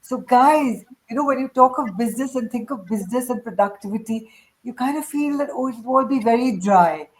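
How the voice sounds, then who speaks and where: A woman's voice: on the high side, a little fast, poor audio. A woman hosting a casual group discussion in an effusive, encouraging way. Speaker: host of a group chat. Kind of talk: moderating a casual panel discussion.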